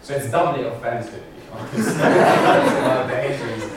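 A man with a Lebanese accent gives a humorous lecture, tossing wry asides to his listeners. He sounds distant from the mic in a strongly echoing hall, with a steady murmur of room noise behind him. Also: mid-range voice, normal speed. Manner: wry, joking asides to the audience. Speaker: guest speaker, satirist.

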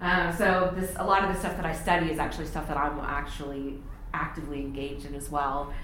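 Woman, forties, academic lecturer; low voice, very echoey hall, faint hiss. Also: level tone, a bit bright. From Texas, United States. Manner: measured, frequent ums and restarts. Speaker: visiting university lecturer. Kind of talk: academic talk. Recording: very echoey room, faint noise.

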